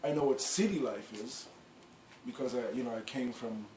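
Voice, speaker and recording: A middle-aged man tells his story in a loose, rambling way, leaning on "you know" and starting over often. His voice sits at an ordinary middle pitch. It was recorded in an echoey room with a faint hum of background noise. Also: normal speed, a little mellow. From Guyana. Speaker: clothing brand founder. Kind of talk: casual storytelling interview.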